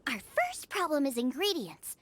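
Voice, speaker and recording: A woman's voice: high voice, wildly swooping pitch, normal speed, noisy background. A woman voicing a spirited teenage girl chef in a video game, bubbly, slangy and emphatic. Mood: eager, decisive. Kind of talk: video game character acting.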